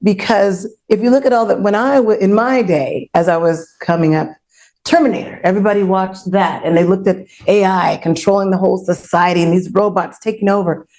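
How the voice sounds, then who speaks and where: A woman's voice: medium pitch, fairly quick, darkish tone. A woman co-hosting a conversational panel show, emphatic and direct with the audience, repeating phrases for effect. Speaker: panel co-host.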